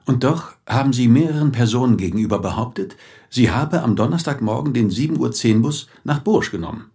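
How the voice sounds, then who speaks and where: Man doing police inspector, dry and insistent, medium pitch, radio drama interrogation style. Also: lively intonation, fairly quick, warm tone, lightly acted. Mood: probing. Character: probing, sceptical male police inspector. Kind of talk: naturalistic German radio play acting.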